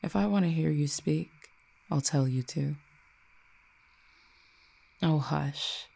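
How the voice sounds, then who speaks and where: A performer voicing an ancient, possessive cupid in an audio roleplay, speaking in a haughty, deliberate, teasingly menacing way. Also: mid-range voice, vocal fry, dark tone, full character voice. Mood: dominant, teasing. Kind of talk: scripted audio roleplay, acted monologue.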